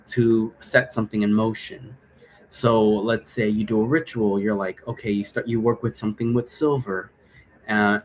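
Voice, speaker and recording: A man giving a casual, unscripted explanation as a teacher, rambling along and often checking understanding with a quick "right?". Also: medium pitch, a little hiss or hum, low-quality recording. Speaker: teacher explaining occult role-play rules.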